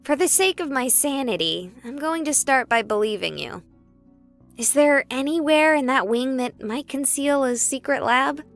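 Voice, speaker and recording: A high, animated female voice plays a stubborn young streamer in a horror visual novel. She is sarcastic and emphatic, with music running underneath. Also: moderate pace. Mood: questioning, wary. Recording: music behind the voice.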